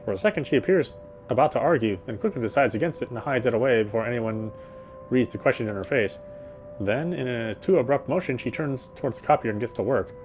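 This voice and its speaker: A man's voice: medium pitch, fast talker, darkish tone, soft background music, lightly acted. An actor playing a weary male city detective, narrating in the first person with a wry inner voice.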